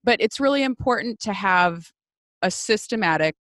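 A woman with a Midwestern American accent, chatting casually as she interviews a guest as host, talkative and quick to laugh. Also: mid-range voice, animated, a bit bright, dry recording, studio quality.

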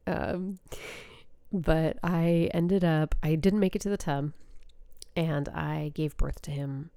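Slow, gravelly-voiced woman with a stuffy nose. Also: low voice, fairly even intonation, dark tone, no echo, studio quality. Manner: rambling, with frequent fillers and laughs. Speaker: podcast host and spiritual coach. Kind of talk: casual storytelling monologue.

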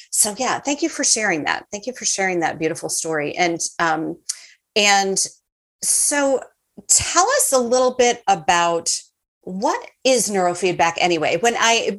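Excited woman interviewing a guest, keeps saying beautiful, trips over her words a bit. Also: mid-range voice. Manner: enthusiastic, effusive praise. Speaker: podcast host. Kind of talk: warm interview chat.

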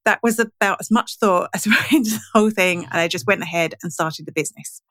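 A woman's voice: medium pitch, fast, fairly bright tone, dry recording. A woman speaking as a podcast guest, telling her story in a casual, conversational way. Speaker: podcast guest, digital marketing trainer.

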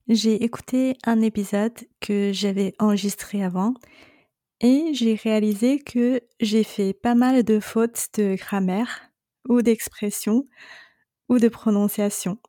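A middle-aged woman hosting a solo coaching podcast, speaking calmly and reassuringly straight to her listener. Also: mid-range voice, no room sound, studio quality. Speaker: separation coach and podcast host.

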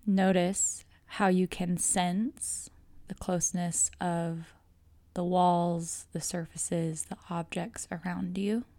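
A woman guiding a relaxation meditation, speaking in a calm, measured, soothing way with pauses between phrases. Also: on the low side, no room sound. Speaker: yoga nidra guide. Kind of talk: guided meditation narration.

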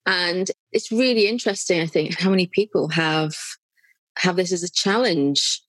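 A woman's voice: lowish voice, moderate pace, fairly bright tone, no echo. A woman from North Wales with a light, mixed accent, hosting a podcast interview in a chatty, friendly way. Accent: light, mixed North Welsh. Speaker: podcast host.

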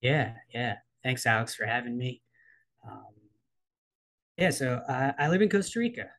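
Measured, reflective American man. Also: mid-range voice, animated, fairly bright tone. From East Coast of the United States. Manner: thoughtful, measured, with frequent ums and trailing pauses. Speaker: guest, coach and writer. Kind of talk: reflective personal interview.

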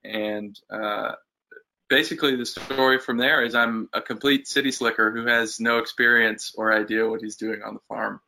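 A man in his thirties, a farmer guest in an interview, speaking thoughtfully and pausing with fillers. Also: on the low side, level tone, creaky, bright, forceful tone, rough recording. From United States. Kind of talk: casual interview chat.

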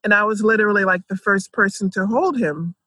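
A woman's voice: mid-range voice, fairly quick, pushed and bright, no room sound, clean studio sound. A woman, telling her life story conversationally and peppering it with "like" and "you know". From Jamaica and Philadelphia, United States. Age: 30s.

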